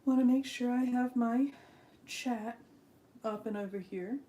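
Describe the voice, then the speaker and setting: A woman's voice: on the high side, unhurried, dark tone, slight background noise. A woman chatting casually on a live stream as she sets up, rambling with frequent ums, a little sniffly from allergies.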